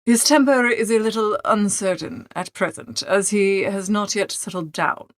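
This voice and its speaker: A woman plays a coolly deferential male valet from a storybook. The delivery is formal, measured and reserved, never ruffled. The sound is close and dry, without any room around it. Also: medium pitch, dry recording, close to an everyday voice. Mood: calm, deferential. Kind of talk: storybook character reading.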